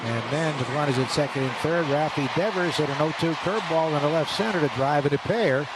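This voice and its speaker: A man calls baseball play-by-play on radio in a slightly high voice, brisk and detailed. He rises with the action. Steady background noise runs under the broadcast booth. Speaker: play-by-play announcer.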